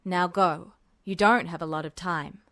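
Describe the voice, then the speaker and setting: Woman doing a guarded male officer, low voice, terse, urgent, dry recording. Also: steady tone, unhurried, fairly bright tone, no room sound.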